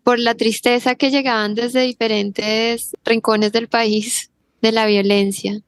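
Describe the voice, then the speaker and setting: Woman, forties, Bogotá Colombian accent, flat even delivery, thoughtful interview guest, restarts mid-sentence. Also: a little high, takes it slowly, clear, resonant tone. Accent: Bogotá Colombian Spanish. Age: 40s. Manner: thoughtful, searching for words with frequent restarts. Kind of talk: reflective conversational interview.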